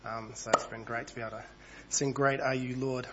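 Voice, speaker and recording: A man over thirty-five, husky from a cold, preaching an evening church sermon in a conversational way and reading passages aloud. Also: mid-range voice, little pitch movement, brisk, a bit bright, lo-fi sound. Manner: conversational, reads passages aloud, small asides.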